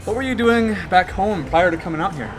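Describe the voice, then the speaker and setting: A man interviewing a colleague in a relaxed, friendly way, asking follow-up questions. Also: sits high, a little fast, a crowd in the background, rough recording. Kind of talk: casual interview. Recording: background music throughout.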